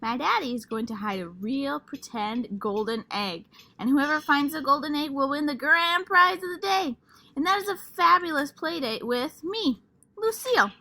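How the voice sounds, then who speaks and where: A woman voicing a prissy, showy little girl classmate who gushes in a high, sing-song, bossy way. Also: expressive, a little slow, vocal fry, hardly any pauses, fairly bright tone, dry recording, exaggerated voice. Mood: gushing.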